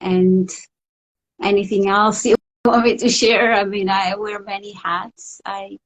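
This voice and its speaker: Reflective Swiss Italian woman, halting speech. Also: medium pitch, level tone, poor audio. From Italian-speaking Switzerland. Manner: reflective, halting, many restarts, soft laughs. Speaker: guest. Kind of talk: casual interview over a call.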